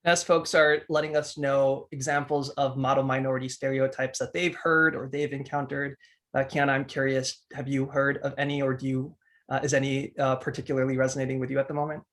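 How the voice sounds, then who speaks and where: A man's voice: medium pitch, flat delivery, brisk, a bit bright. A man in his thirties from the United States, a teacher co-hosting a webinar. He presents in an earnest way with frequent restarts and fillers. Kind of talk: presenting a discussion talk.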